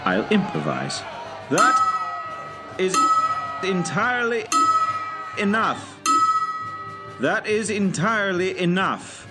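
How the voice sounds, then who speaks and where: An actor playing a pompous, commanding director in an audio drama, with a formal, haughty and clipped way of speaking. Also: male voice, high voice, very animated, slow pace, pauses often, loud music behind the voice, full character voice. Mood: indignant, commanding. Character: pompous, commanding male director, clever and dryly imperious. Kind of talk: scripted audio drama acting.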